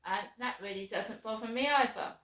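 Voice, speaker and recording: A woman with a sore throat vlogging alone from her flat, rambling and aggrieved, with many ums. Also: on the high side, moderate pace. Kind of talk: casual chat to camera. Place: small flat, indoors. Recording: echoey room, mic at a distance.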